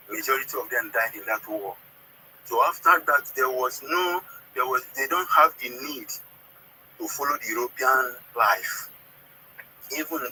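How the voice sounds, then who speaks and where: A man from southern Nigeria with a southern Nigerian accent and Ika as his first language, calling in to explain history, haltingly, repeating words and checking he is understood. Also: mid-range voice, sharp tone. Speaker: caller. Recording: noisy call audio.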